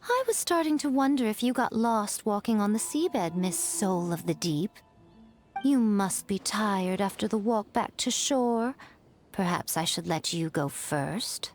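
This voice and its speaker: A woman's voice: mid-range voice, unhurried, a little mellow, close to an everyday voice. A woman voicing a sly, haughty fox shrine maiden in a video game, teasing with a mocking drawl. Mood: mockingly sweet. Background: faint music.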